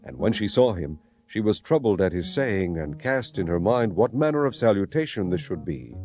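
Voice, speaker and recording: A man's voice: fairly low pitch, sing-song, normal speed. A man narrating scripture dramatically, in a solemn storytelling manner. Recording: music behind the voice.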